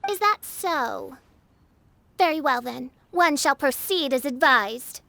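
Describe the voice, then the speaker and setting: A woman's voice: sits high, expressive, takes it slowly, gravelly, bright, forceful tone, heavily acted. A woman voicing a grandiose young self-proclaimed princess who speaks in theatrical, archaic phrases. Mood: haughty. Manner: theatrical, archaic and pompous speech. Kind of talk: video game voice acting.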